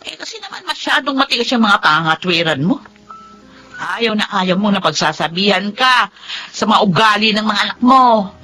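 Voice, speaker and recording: An actress playing an exasperated middle-aged elder sister, nagging forcefully in a radio drama style. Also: female voice, mid-range voice, lively intonation, normal speed, faint music behind. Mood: scolding, exasperated.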